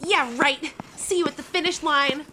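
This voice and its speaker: A woman playing an excitable, cocky thirteen-year-old contestant telling their story in a scripted audio drama. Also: sits high, moderate pace, very noisy, lightly acted. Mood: defiant. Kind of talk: scripted audio drama, first-person narration with acted lines.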